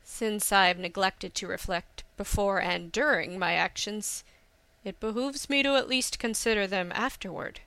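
Proud young lord of a storybook, voiced by a female narrator: formal, earnest, bright and crisp. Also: mid-range voice, moderate pace, no echo. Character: proud young landowner's son, demanding, then humbly formal.

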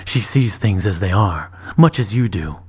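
A man voicing a slow, deep-spoken wise dragon. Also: lively intonation, husky. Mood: sage. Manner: slow, measured, solemn. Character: ancient dragon posing as knight, calm and wise.

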